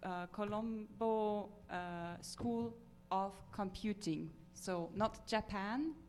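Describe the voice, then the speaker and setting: A woman moderating a conference session, halting with many fillers. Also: mid-range voice, slight background noise. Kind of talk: introducing speakers at a conference.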